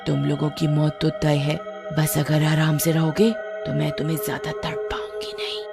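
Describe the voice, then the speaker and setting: A woman's voice: low voice, flat delivery, moderate pace, creaky. A woman voicing a gloating, cackling evil witch who taunts her victims in a horror cartoon. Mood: gloating menace. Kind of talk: Hindi horror-cartoon voice acting. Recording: music behind the voice.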